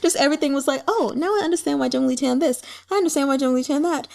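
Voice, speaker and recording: A woman with a fairly high voice hosts a casual book commentary. She talks in an animated, colloquial way, with wry asides addressed straight to the characters as if they could hear her. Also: rapid-fire, creaky. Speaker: host of a book reading channel.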